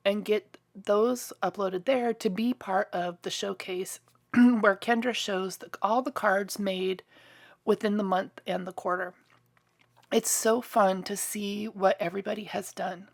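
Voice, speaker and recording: A woman in a casual crafting tutorial voice-over, chatty and enthusiastic, a little hoarse and stuffy, clearing her throat now and then. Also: mid-range voice. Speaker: card-making crafter.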